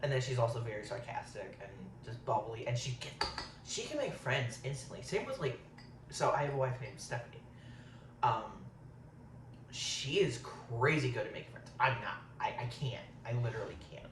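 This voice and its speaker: A man's voice: mid-range voice, big pitch swings, normal speed. A man in his thirties chatting casually while eating on camera, rambling and saying um a lot. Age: 30s. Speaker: vlogger. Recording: roomy, distant, faint hiss.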